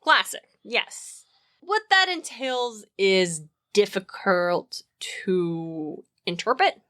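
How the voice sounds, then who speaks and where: A woman's voice: medium pitch, expressive, drawn-out words, fairly bright tone, dry recording, studio quality. A woman co-hosting a podcast, chatting casually and informally about mythology as she thinks aloud. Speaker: podcast co-host.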